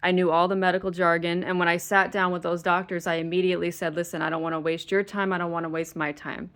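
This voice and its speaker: A woman's voice: fairly low pitch, level tone, quick pace, a bit bright, clean tone. A woman vlogging to camera, stressed and exasperated, venting with frequent swearing. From United States. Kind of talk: ranting to camera.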